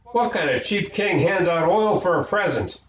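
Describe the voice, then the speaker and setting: Man voicing a brash, indignant schoolgirl, pitched way up. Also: expressive, moderate pace. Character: bossy, outraged, loud tough schoolgirl. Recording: echoey room, distant mic, noisy, dull.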